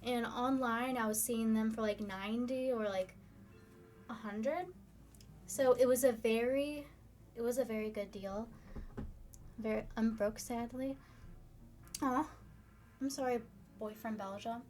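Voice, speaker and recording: Chatty young woman streamer, slightly hoarse. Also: fairly high pitch, a little slow, pauses often, darkish tone. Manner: chatty, upbeat, reads viewer comments. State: slightly hoarse, losing her voice. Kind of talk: casual live-stream chat.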